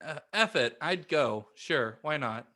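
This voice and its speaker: Male podcast co-host, high-pitched and bright, excitable, halting casual banter.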